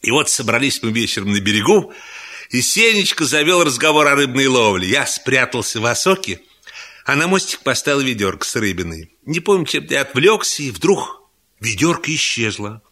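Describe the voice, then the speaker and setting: Lively, bright-voiced male storyteller. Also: medium pitch, moderate pace. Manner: animated, conversational, playful. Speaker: storyteller, reader of a humorous story. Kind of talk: expressive humorous scripted narration in first person.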